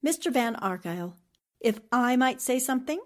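A woman's voice: a little high, lively intonation, normal speed, darkish tone, natural voice. An actress playing a shrewd, self-assured newspaperwoman with a questioning manner in a 1940s-style radio drama. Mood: probing, assured. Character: composed, shrewd, assertive newspaperwoman sleuth.

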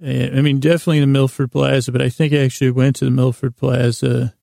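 A man hosting a bedtime podcast in a gravelly, creaky voice, rambling in a halting, meandering, gently silly way and often interrupting himself. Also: medium pitch, little pitch movement, no echo, clean studio sound. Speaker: podcast host.

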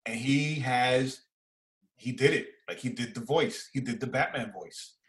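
A man, chatting casually and excitably as a podcast co-host, using slang and repeating phrases for emphasis. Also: mid-range voice, measured pace. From Far Rockaway, New York, United States. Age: 40s. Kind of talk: casual fan chat, reviewing and reacting.

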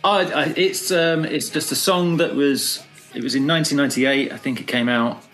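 A man from Britain chatting casually, reminiscing in a halting, rambling way. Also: mid-range voice, fairly bright tone, faint music behind. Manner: rambling, nostalgic, hesitant. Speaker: podcast guest. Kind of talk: casual chat.

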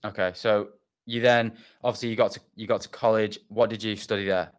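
A man with a fairly low voice hosts a casual podcast interview. He talks in a relaxed, reflective way and steers things along with follow-up questions. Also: brisk. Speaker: podcast host.

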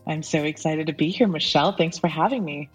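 A woman's voice: sits low, a little fast, breathy edge, soft background music. A young woman entrepreneur from Pakistan, talking earnestly and reflectively as a podcast guest, with frequent fillers. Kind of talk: casual interview talk.

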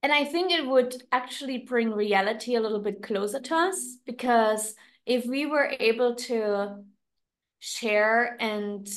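A woman with a German accent, a non-native English speaker, co-hosting a podcast in a casual, reflective chat, often pausing and restarting as she searches for words. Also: fairly high pitch. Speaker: podcast co-host.

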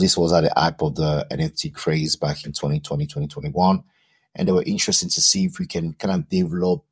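Deep husky man from Cameroon. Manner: long, explanatory answers. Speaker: podcast guest, founder and CEO. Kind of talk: interview answers.

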